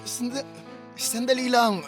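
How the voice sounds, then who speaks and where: A man's voice: very high voice, fairly even intonation, a little slow, faint music behind. A man playing an obsessive, excuse-making young boyfriend in a Filipino radio drama. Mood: alarmed, defensive.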